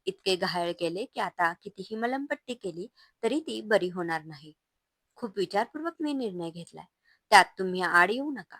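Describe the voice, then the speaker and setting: A woman's voice: medium pitch, animated, moderate pace, fairly bright tone, dry recording. A woman voicing a hurt but resolute young wife who stands up to her husband in a firm, raised voice.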